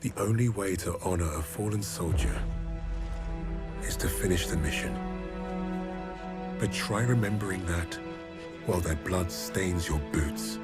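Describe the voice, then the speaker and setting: Grave British squad leader narrating. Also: male voice, sits low. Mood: solemn. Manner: solemn, measured. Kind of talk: reflective narration. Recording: loud game music underneath.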